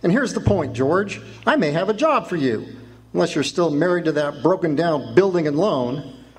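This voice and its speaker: A man's voice: on the high side, animated, normal speed, soft background music, natural voice. An actor playing a brash, boastful young rich man calling on the phone, in a live amateur radio drama. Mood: jovial. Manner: brash, jovial.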